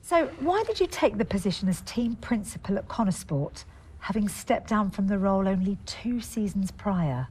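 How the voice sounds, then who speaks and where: An actress playing a probing documentary interviewer with a husky voice, asking calm questions in a game cutscene. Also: female voice, mid-range voice, moderate pace.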